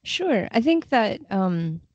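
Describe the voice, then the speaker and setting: A woman's voice: mid-range voice, sing-song, slow, darkish tone, dry recording. A woman chatting casually as a guest in an interview, on slightly dull audio. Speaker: podcast guest, freelance translator.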